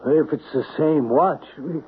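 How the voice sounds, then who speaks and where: An actor playing an anxious, guilt-ridden husband, hesitant and easily agitated, in old-time radio drama style. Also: male voice, a little high, measured pace, a little hiss or hum, close to an everyday voice. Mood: tense questioning. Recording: tinny, band-limited old radio recording.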